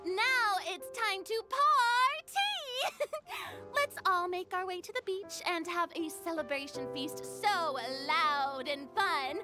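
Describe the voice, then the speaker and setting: Very high-pitched bossy film director. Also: female voice, lively intonation, slow pace, exaggerated voice. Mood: relieved, elated. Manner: commanding, dramatic. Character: bossy, theatrical young female film director. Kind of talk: video game cutscene acting. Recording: faint music behind.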